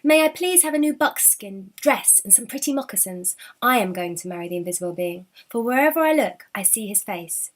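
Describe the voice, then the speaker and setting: A woman's voice: mid-range voice, animated, fairly quick, few pauses, natural voice. A woman voicing a humble young girl in a folktale, polite, earnest and hopeful.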